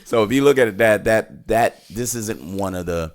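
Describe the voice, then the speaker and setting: Deep, gravelly man reviewing casually. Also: normal speed, slight background noise. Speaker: podcast host giving a film review. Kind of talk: casual conversational movie review.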